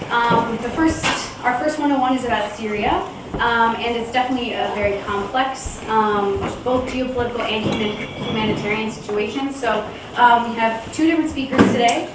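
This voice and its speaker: A woman's voice: a little high, moderate pace, hardly any pauses. Woman host in a very echoey, noisy room. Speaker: event host introducing speakers. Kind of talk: informal spoken introduction at a community talk. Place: indoor room at a public talk. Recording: very echoey room, distant mic, loud noise.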